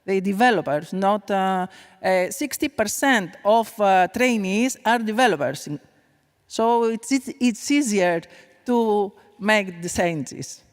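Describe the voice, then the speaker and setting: A woman answers from a conference panel at a slow, careful pace, pausing often with fillers as she searches for words. Her voice is clear and smooth, carried by the long reverb of a big hall. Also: mid-range voice, animated. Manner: hesitant, halting non-native English with many fillers. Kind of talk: answering audience question.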